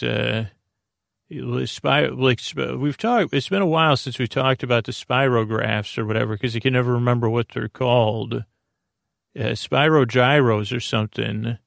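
A man hosting a sleep podcast, talking in a slow, meandering, soothing monologue that often loses its thread, with a creaky voice. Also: medium pitch, no room sound, clean studio sound. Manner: meandering, self-interrupting, gently soothing. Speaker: podcast host. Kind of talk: slow rambling bedtime monologue.

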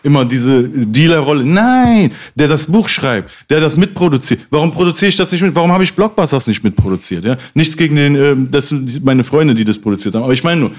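A man's voice: a little high, expressive, brisk, hardly any pauses. Hesitant, thoughtful man in casual talk. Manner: reflective, hesitant, restarts a lot. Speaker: rapper. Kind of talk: casual conversation.

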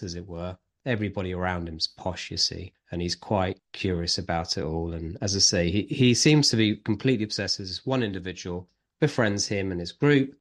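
Man reviewing films, low voice. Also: a bit bright. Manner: enthusiastic, descriptive reviewing. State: lingering chesty cough. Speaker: podcast co-host, film reviewer. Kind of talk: casual film review chat.

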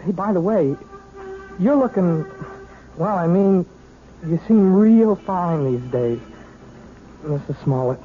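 A man's voice: sits high, lively intonation, slow pace, pauses often. A young actor playing an awkward, shy young man who stammers and hesitates, in a 1970s radio drama. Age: young adult. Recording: tinny 1970s radio broadcast with hiss.

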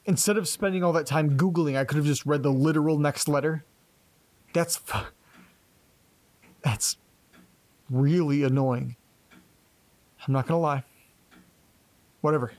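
A man acts an exasperated young investigator in an audio drama. He talks slowly with a creaky, fry-laden voice, reading aloud and muttering casual asides to himself. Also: a little high, darkish tone, no echo. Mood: annoyed, self-soothing.

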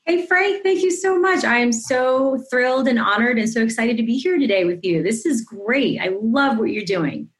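A woman from Singapore, a podcast guest, telling her story in an effusive, animated way with frequent restarts. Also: a little high, moderate pace, hardly any pauses. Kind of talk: interview, personal storytelling.

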